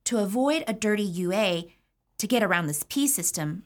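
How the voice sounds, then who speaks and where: A woman's voice: medium pitch, lively intonation, normal speed, lightly acted. An actress playing a woman who tells a chatty, wry story about probation. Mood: amused. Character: woman recalling probation drug tests. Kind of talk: audio drama acting.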